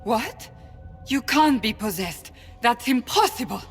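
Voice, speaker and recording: A woman's voice: a little high, sing-song, unhurried, breathy edge, full character voice. An actress playing a stern, devout woman warrior in a video game, speaking formally and earnestly. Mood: alarmed. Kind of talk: dramatic video game acting. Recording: music behind the voice.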